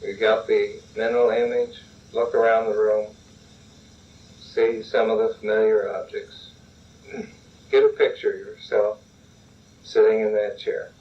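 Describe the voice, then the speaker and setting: Low-voiced man guiding relaxation. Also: unhurried, pauses often. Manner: calm, instructive, repeatedly urging relaxation. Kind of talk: guided relaxation and role-play exercise. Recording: dull lo-fi recording from a distance.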